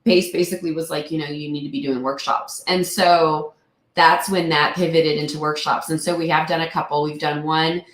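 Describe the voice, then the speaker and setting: A woman's voice: low-pitched, fairly even intonation, moderate pace, roomy sound. A woman investor in a casual interview, sounding throaty after a cold, talking earnestly with many fillers. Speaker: real estate investor guest.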